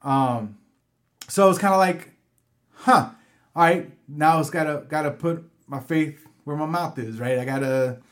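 A man co-hosting a podcast in casual chat, relaxed and rambling with many fillers and restarts. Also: on the high side, a little slow. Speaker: podcast co-host.

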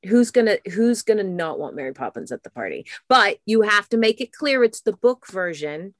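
An American woman in casual podcast chat who also reads a book aloud with animated storytelling. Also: mid-range voice, moderate pace, no echo, clean studio sound.

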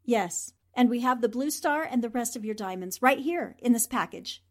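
A high-voiced, plucky woman reporter acted by a woman in a forties radio play. She is a composed investigator who speaks with purpose. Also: lively intonation, moderate pace. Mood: calm. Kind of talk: 1940s radio drama acting.

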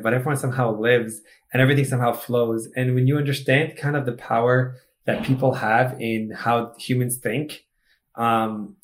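A man in his thirties from Egypt, a startup founder chatting as a podcast guest, speeding up in animated bursts and leaning on "like" as he talks. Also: mid-range voice. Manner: animated storyteller, fast bursts and filler "like". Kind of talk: casual interview chat.

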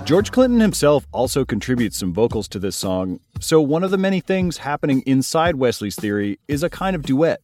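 A man hosting a podcast, narrating a scripted story in a measured, explanatory way. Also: mid-range voice, very animated, darkish tone, soft background music. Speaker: podcast host.

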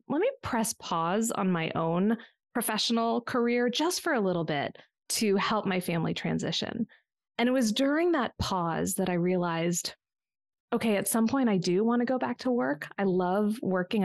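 A middle-aged American woman telling her personal story reflectively in an interview, articulate and measured. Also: mid-range voice, lively intonation, creaky, dry recording, clean studio sound. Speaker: interview guest, coach and former therapist.

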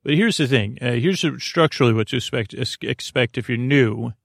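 A man hosting a bedtime podcast in a creaky, soothing voice, meandering and halting with stammers and self-deprecating asides. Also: mid-range voice, no room sound, clean studio sound. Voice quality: creaky, gravelly. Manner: soothing, lulling. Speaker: podcast host. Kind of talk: rambling bedtime sleep podcast monologue.

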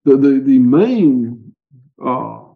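A man's voice: medium pitch, expressive, extremely slow, creaky, narrow, tinny audio. A man with a Southern US accent, a livestock farmer talking in an interview, rambling and folksy with frequent restarts. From American South. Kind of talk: conversational interview.

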